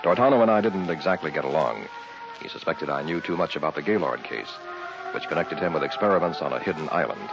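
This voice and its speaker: An actor playing a troubled young medical student in a vintage radio horror drama, brooding and dramatic. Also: male voice, rumbling low, expressive, quick pace, gravelly, heavily acted. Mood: haunted, brooding. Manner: brooding, dramatic first-person telling. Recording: dull vintage radio recording, music behind.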